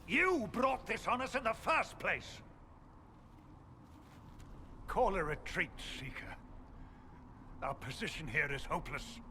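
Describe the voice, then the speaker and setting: An actor playing a pompous, haughty church chancellor in a fantasy video game, scolding and officious. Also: male voice, high voice, lively intonation, takes it slowly, pauses often, pushed and bright, a little hiss or hum, full character voice. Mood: agitated. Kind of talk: dramatic video game acting.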